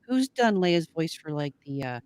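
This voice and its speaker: A woman's voice: deep voice, sing-song, moderate pace, a little mellow, clean tone. A woman co-hosting a live podcast in casual fan discussion. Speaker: podcast co-host.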